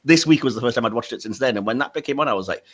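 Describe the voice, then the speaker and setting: A man in his forties, from North East England, chats easily on a podcast. His voice sits at an ordinary middle pitch. He rambles through anecdotes with plenty of ums and chuckles. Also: very fast, a bit bright. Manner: chatty storyteller, many ums and laughs. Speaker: podcast host. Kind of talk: casual chat.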